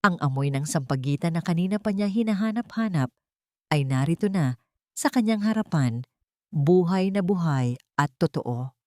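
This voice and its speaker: A woman's voice: lowish voice, expressive, moderate pace, mellow, no room sound, studio quality. A woman storyteller delivering scripted, dramatic narration of an audio story.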